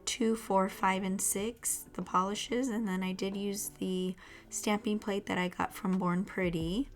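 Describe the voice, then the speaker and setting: A woman hosting her own nail channel who is losing her voice, speaking in a hushed, breathy near-whisper. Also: medium pitch, fairly even intonation, measured pace, faint music behind. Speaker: nail art channel host. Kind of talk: casual vlog aside.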